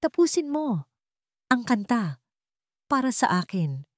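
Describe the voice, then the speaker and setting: A woman with a Filipino accent voicing a tender, dying young woman in an audiobook, halting and breathy. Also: a little high, sing-song, dry recording, studio quality, pitched above the performer's own voice. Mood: weak, tender. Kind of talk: audiobook character acting.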